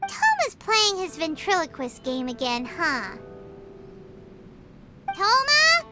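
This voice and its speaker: A woman voicing a tiny, childlike fairy companion in a video game, chatty and expressive, with plucky colloquial speech. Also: extremely high pitch, takes it slowly, heavily acted. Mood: puzzled, curious. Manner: speaks of self in third person, colloquial, expressive. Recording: loud background music.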